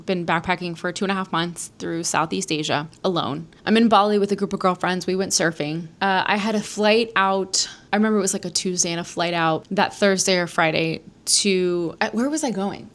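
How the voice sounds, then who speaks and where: A woman's voice: lowish voice, brisk. An American woman from New Jersey telling a personal story as a solo podcast host, in a chatty, candid, casual way with some swearing. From New Jersey, United States.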